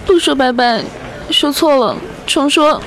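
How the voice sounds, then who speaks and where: A woman's voice: sits high, lively intonation, measured pace, fairly bright tone, slight background noise, heavily acted, poor audio. An actress in a radio drama playing a devoted young girlfriend, speaking in a tender, pleading way. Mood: wistful, insistent. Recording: music behind the voice. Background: background music.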